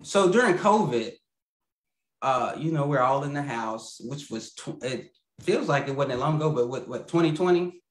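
A man's voice: mid-range voice, lively intonation, moderate pace. A man being interviewed over a video call about his puppets, rambling and stopping to apologize, laughing easily. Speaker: puppeteer guest. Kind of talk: casual interview chat. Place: home room with a lamp and plant. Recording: echoey room on a video call.

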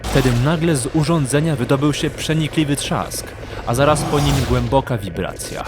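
A man narrating a fantasy audio drama in a measured, suspenseful storytelling manner. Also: medium pitch, very noisy. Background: music.

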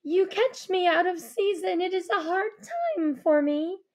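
A woman's voice: very high-pitched, measured pace. A woman voicing a volatile, imperious female spring spirit queen who speaks in haughty, archaic phrases. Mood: wrathful.

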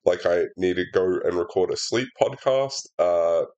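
A man's voice: low-pitched, normal speed, lo-fi sound. A young man with a congested, sinusy voice, casually hosting a sudoku walkthrough and talking through each step with lots of fillers, recorded on a laptop's built-in mic at his desk. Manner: chatty and relaxed, many fillers, explains steps aloud. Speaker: puzzle setter and walkthrough host. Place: indoor room at a desk.

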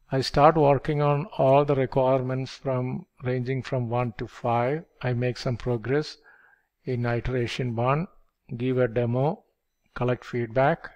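An older man explaining project life cycles step by step, in a measured lecture delivery on a headset microphone. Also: medium pitch, a little mellow. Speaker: project management instructor. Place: dim indoor room. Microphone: headset mic.

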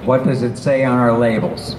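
A mid-pitched man fires blunt, probing questions across a convention panel. The big hall echoes around him with clear background noise. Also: moderate pace.

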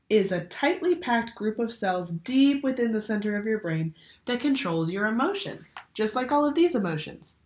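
A young woman reading a children's picture book aloud like a teacher, expressively, asking the listeners questions. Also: mid-range voice. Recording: roomy indoor echo.

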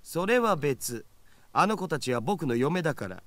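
An actor playing a glib young otaku hacker in a visual novel, with a teasing, slangy manner. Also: male voice, a little high, big pitch swings, brisk, fairly bright tone, no room sound. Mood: matter-of-fact. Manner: flippant, slangy. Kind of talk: Japanese visual novel acting.

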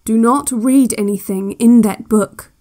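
A woman playing a calm, controlling relaxation tape instructor in an audio drama, measured and soothing with a quietly ominous air, giving guided instructions. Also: on the high side, lightly acted. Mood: calm, instructing. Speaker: narrator of relaxation cassettes. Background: new-age music.

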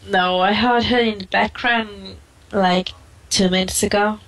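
A woman's voice: medium pitch, steady tone, a little slow, fairly bright tone, low-quality recording. A woman in casual voice chat, a little drunk and playful. She teases the others and eggs them on as they play. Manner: teasing, playful. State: tipsy.

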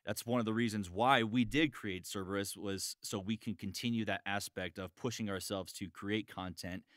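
A man's voice: on the low side, fairly even intonation, moderate pace, a bit bright, no echo, clean studio sound. A man hosting a podcast about voice acting in casual chat. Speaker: podcast host, voice actor.

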